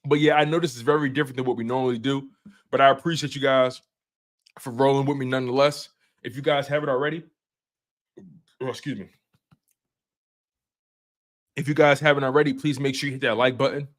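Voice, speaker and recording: A man in his twenties hosting a sports show live stream, chatting casually with viewers in a relaxed, slangy way, often repeating himself and restarting sentences. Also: mid-range voice, a little fast, pauses often. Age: 20s.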